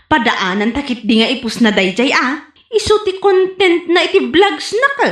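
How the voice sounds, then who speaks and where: Woman acting a high, coaxing young friend. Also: normal speed, a bit bright. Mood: reassuring. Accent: northern Philippine. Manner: persuasive, chummy. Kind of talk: comedy radio drama acting. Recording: roomy echo.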